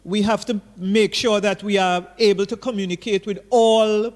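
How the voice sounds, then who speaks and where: A man's voice: high-pitched, steady tone, moderate pace, long reverb tail. A man moderating an online panel forum over a video call, hesitant as he reads out questions and keeps the speakers to time.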